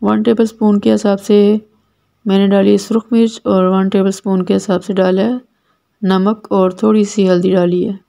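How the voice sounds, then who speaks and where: Brief: Pakistani woman cooking host, dark gravelly tone, plain step-by-step instructions. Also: mid-range voice, flat delivery, moderate pace. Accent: Pakistani Urdu. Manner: plain, repetitive instructional delivery. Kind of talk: step-by-step recipe voice-over. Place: home kitchen.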